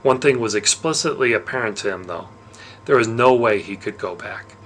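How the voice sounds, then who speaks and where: A man narrating a novel aloud in an expressive storytelling style. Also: on the low side, normal speed, a little hiss or hum.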